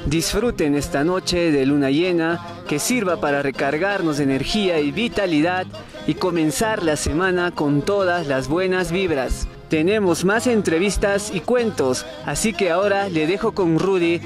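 A man's voice: a little high, normal speed. A man with a Peruvian accent presenting a radio show from a script as its host. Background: music bed.

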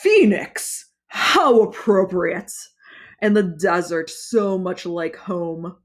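A woman voicing a cruel male fire god who speaks slowly and gloats, in an audiobook reading. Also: expressive. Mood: gloating menace. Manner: slow, booming, gloating. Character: menacing fiery male god, commanding and cruel. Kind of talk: audiobook character voice.

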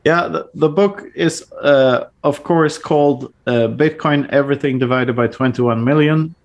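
A man talking as a guest author on a podcast, casual and halting, with many fillers and restarts and little laughs at his own jokes. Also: medium pitch, muffled recording.

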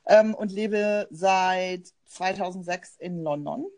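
A woman's voice: lowish voice, slow, a little mellow. German woman talking in a podcast. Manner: thoughtful, personal, with frequent fillers. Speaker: podcast co-host, journalist. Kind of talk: casual conversational podcast.

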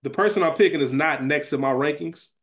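Male podcast co-host, slightly high voice, loose slangy conversational delivery. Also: fast talker, a bit bright. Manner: colloquial, slangy. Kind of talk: casual chat.

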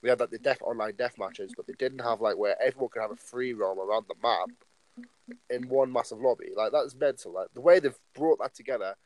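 A man's voice: mid-range voice, brisk, darkish tone, dry recording, low-quality recording. A nineteen-year-old man co-hosting a casual podcast, rambling along and filling his talk with "like" and "obviously". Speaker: podcast co-host.

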